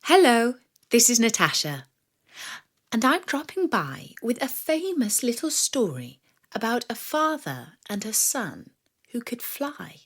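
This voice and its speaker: A woman reading a scripted story for children in a measured, expressive storyteller's manner. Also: on the high side, husky. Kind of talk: scripted narration of a myth for children.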